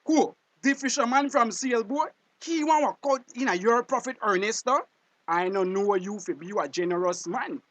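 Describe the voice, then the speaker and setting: An actor playing a haughty, impatient hustler in a screen drama, brash and demanding, with a Belizean accent. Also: male voice, high-pitched, moderate pace, a bit bright, no echo. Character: pushy, impatient male hustler, haughty and suspicious. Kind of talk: acted screen drama dialogue.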